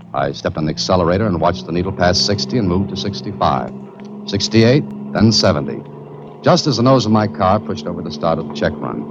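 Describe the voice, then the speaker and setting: An actor playing a breezy, curious adventurer in a 1940s radio drama, with wry, polished delivery. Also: male voice, deep voice. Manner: wry, bantering, polished storytelling. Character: breezy, curious adventurer hero narrating his own case. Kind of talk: 1940s radio drama acting with first-person narration. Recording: dull, noisy vintage radio recording.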